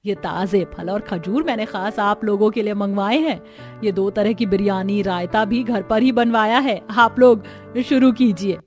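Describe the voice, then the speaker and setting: A woman voicing a kind, wealthy middle-aged mother-in-law in a cartoon story, speaking gently and with assurance. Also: mid-range voice, fairly even intonation, moderate pace, faint music behind, close to an everyday voice. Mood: generous, assured.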